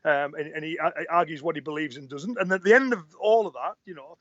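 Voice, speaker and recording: Guy talking karate, kinda high voice, dead dry muffled audio, repeats himself a lot. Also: fast talker, dry recording. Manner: earnest, repeats words while thinking. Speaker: guest, karate instructor. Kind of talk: casual interview answers.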